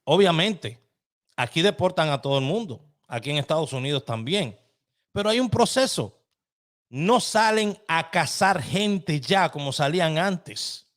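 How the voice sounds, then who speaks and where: Un hombre dominicano de mediana edad que presenta en vivo un programa de opinión, hablando con pasión y en tono coloquial, directo a su público. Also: male voice, fairly high pitch, expressive, unhurried, a bit bright. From Dominican Republic. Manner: impassioned, colloquial, addresses listeners directly. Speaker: host. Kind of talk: live opinion commentary.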